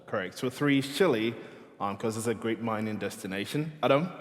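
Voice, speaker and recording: A man moderating a conference panel, briskly summing up answers and handing the word to the next speaker. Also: fairly low pitch. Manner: brisk, sums up answers and hands over to panelists. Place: conference stage in a large hall. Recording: long hall reverb from a conference stage.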